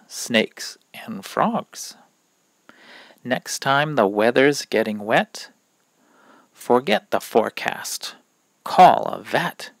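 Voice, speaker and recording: A man reading rhyming verses aloud from a children's picture book in a deliberate, expressive way, pausing between phrases. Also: medium pitch, vocal fry, no room sound. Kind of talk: children's story read-aloud of rhymes.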